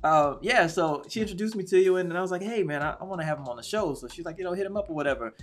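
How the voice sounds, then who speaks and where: A man's voice: high voice, racing. A man hosting a casual talk show interview, chatty and hyped, repeating himself often.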